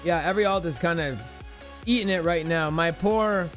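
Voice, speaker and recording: A man hosting a casual live stream, commenting in an excitable, slangy way and joking with his viewers. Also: on the high side, normal speed. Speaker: livestream host doing crypto chart analysis. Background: music playlist playing.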